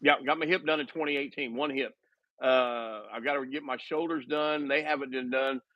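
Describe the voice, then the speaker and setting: A man's voice: mid-range voice, steady tone, dry recording, muffled recording. A man in his fifties, a guest making casual conversation, who often restarts his sentences and jokes at his own expense. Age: 50s. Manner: halting, frequent restarts; self-mocking humor.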